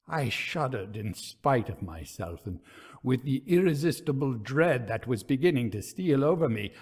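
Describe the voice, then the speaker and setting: A man narrating a gothic ghost story in a theatrical, suspenseful, measured manner. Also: a little high, slightly rough.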